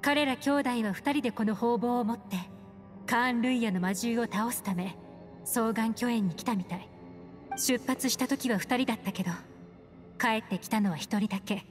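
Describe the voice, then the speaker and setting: A woman playing a composed, serious adult investigator in a video game, speaking in a measured way. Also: on the high side, long reverb tail, lightly acted. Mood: composed, grave. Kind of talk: Japanese video game voice acting. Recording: music behind.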